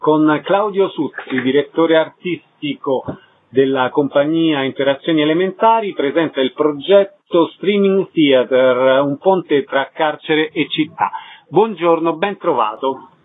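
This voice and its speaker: A man's voice: fairly high pitch, unhurried. A man presenting a radio programme and putting questions to guests, heard through a narrow phone-like sound. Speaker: radio host and interviewer.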